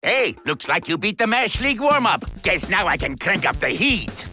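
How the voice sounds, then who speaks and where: A man's voice: high-pitched, sing-song, moderate pace, music in the background. A man voicing a greedy, boastful cartoon villain in a video game, with an exaggerated, bossy, gloating delivery. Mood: taunting. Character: greedy, boastful, cackling middle-aged male game villain. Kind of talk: animated video game character acting.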